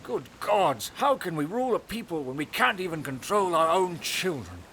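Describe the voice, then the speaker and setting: A man's voice: high-pitched, big pitch swings, normal speed, a bit bright, a little hiss or hum, exaggerated voice. An actor playing an earnest, easily flustered middle-aged father and ruler in an audio drama, emphatic and pleading, with folksy phrasing. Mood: exasperated.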